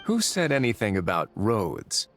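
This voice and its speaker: Lively, wry, teasing duke. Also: male voice, on the low side, a little slow, soft background music, exaggerated voice. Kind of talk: video game voice acting.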